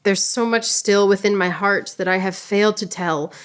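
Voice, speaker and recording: A woman's voice: medium pitch, moderate pace, clear, resonant tone, close to an everyday voice. A woman playing a proud, witty, wounded swordswoman poet in radio theater, with a lofty, theatrical delivery. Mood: tender longing.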